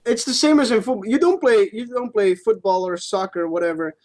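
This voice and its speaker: A man's voice: high-pitched, a little fast, a little mellow. A man co-hosting a podcast, chatting casually about sports with informal, sweary phrasing. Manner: informal, sweary, hedging as he argues. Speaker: podcast co-host.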